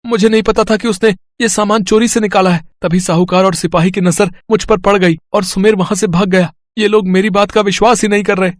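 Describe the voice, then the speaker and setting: A man's voice: high-pitched, steady tone, fairly quick, hardly any pauses, dry recording, studio quality, lightly acted. An actor playing a poor, honest, simple young man who pleads earnestly, in a cartoon moral story. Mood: desperate pleading. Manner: earnest, pleading, talks aloud to himself.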